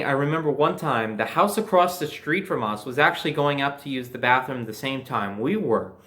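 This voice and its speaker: A young man telling personal stories in a casual, animated way, swearing freely and acting out bits of dialogue. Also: medium pitch. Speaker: storyteller.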